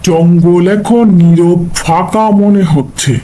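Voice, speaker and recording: A man's voice: high-pitched, steady tone, slow pace, warm tone, a little hiss or hum, rough recording. A man voicing a sly, greedy, boastful snake in an animal fable cartoon, gloating as he talks to himself. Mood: smug.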